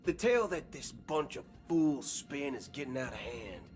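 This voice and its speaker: An actor playing a swaggering, wry cowboy gunslinger in a video game, talking in folksy slang. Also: male voice, medium pitch, very animated, lightly acted. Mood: sly. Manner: folksy slang, slow drawling delivery. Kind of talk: video game cutscene acting. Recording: game audio with background music.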